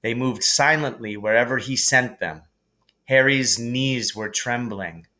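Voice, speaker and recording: A man reading a storybook aloud as an expressive narrator, in a measured, deliberate storytelling manner. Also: mid-range voice. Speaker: teacher reading a novel aloud.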